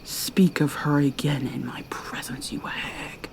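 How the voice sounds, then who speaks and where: A woman voicing a gentle young mermaid in an ASMR roleplay, speaking softly and hesitantly, often in a whisper. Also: low-pitched, slow pace. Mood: defiant.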